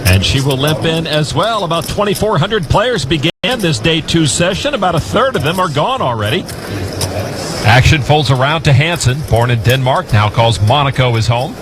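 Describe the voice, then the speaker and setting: A man's voice: a little high, lively intonation, normal speed, background noise. A man giving play-by-play commentary on a poker broadcast, with an emphatic delivery. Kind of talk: sports commentary.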